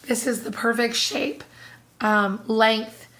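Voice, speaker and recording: Hoarse chatty woman with a strained voice. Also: a little high, steady tone, slow, a bit bright. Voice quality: husky, strained. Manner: chatty, apologetic, many fillers. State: hoarse, recovering from lost voice. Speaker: vlogger. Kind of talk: casual monthly haul-style chat to camera.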